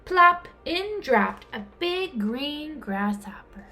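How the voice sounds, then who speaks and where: A woman reading a children's storybook aloud in an expressive, dramatic way, drawing out words. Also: sits high, takes it slowly, soft background music. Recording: clear background noise.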